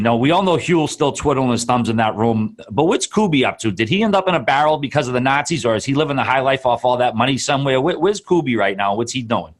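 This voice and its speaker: A man co-hosting a casual podcast, laughing easily as he asks questions. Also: medium pitch. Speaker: podcast co-host.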